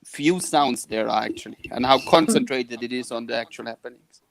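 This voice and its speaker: A man in his thirties from Germany, speaking calmly and measured in a casual chat. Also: mid-range voice, gravelly. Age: 30s.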